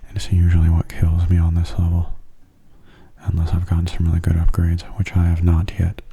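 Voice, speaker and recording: A man giving soft-spoken ASMR commentary over a game in a hushed, breathy murmur, calm and unhurried, close to the microphone. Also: low voice. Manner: calm, unhurried, musing aloud. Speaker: gaming ASMR host.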